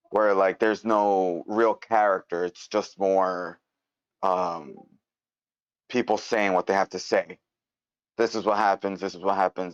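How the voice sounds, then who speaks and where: A man's voice: low voice, no room sound. A man giving informal, hesitant feedback, searching for words and repeating himself as he goes. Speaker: participant giving script feedback. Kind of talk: informal spoken critique. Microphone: online meeting mic. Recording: dry, muffled online-call audio.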